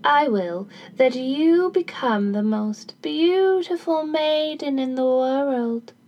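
A woman's voice: sits high, animated, slow pace, dull audio, exaggerated voice. A woman voicing gracious fairies who bestow magical wishes in a folktale, in a ceremonial manner. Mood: solemn, granting. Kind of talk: folktale character voice in storytelling.

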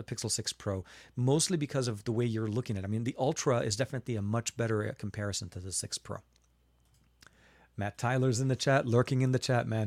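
A man from Lebanon hosts a relaxed live tech stream and works through chat questions one by one. He talks in a loose, chatty way, full of ums and restarts, at a middle pitch. The sound is dry and close, with no room echo. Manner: chatty, answers viewer chat with fillers and restarts. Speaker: tech livestream host. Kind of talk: casual live Q&A.

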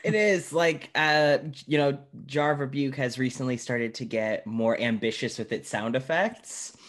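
A man's voice: mid-range voice, normal speed, a bit bright. A man hosting a podcast interview, chatting informally and with enthusiasm. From United States. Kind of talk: casual chat.

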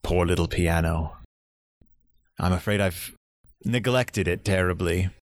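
An actor in an audio drama playing a courteous, lonely grandfather in his seventies, speaking gently and reflectively. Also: low voice, measured pace. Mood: wistful, reminiscing.

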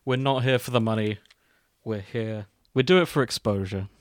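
A man's voice: fairly low pitch, moderate pace, dry recording. A man hosting a podcast in casual comedic chat, joking and swearing freely. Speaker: podcast host.

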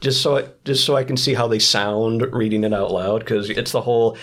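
A man's voice: fairly low pitch, steady tone, fairly quick, a bit bright. A man talking casually as an interview guest, explaining his creative work with enthusiasm. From expat living in South Korea. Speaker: podcast guest, screenwriter and audio drama creator.

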